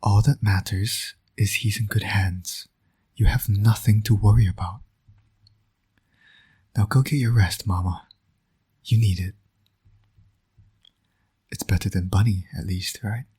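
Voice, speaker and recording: A man playing a flirtatious villain and doting father in romantic roleplay audio, speaking in a smug, teasing, intimate way with a breathy, often near-whispered voice. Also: lowish voice, fairly even intonation, a little slow, long pauses, mellow. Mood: soft, smug.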